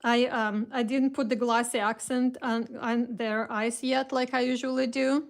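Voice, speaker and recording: A woman's voice: a little high, fairly even intonation, unhurried. A woman with a Russian accent hosting a casual live craft kit reveal, chatting with viewers, greeting them by name and restarting her sentences often. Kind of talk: casual live-stream chat.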